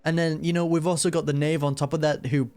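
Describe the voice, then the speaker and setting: A man's voice: fairly high pitch, flat delivery, quick pace, darkish tone, no room sound. A man giving casual, slangy live commentary as a gaming streamer, with wry asides. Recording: game music behind the commentary.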